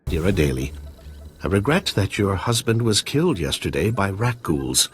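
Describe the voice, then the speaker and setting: An actor playing an earnest, socially awkward scientist with stiff, bookish phrasing in a video game. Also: male voice, low voice, sing-song, normal speed, slight background noise. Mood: stiffly sombre.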